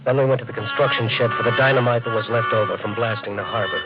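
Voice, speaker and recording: An actor playing a desperate, overwrought American resort owner in an old radio drama, shifting between brooding narration and urgent dialogue. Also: male voice, fairly low pitch, quick pace, natural voice. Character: desperate, overwrought island resort owner telling his story. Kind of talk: 1940s-50s radio drama acting and narration. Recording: dull old radio recording, music behind.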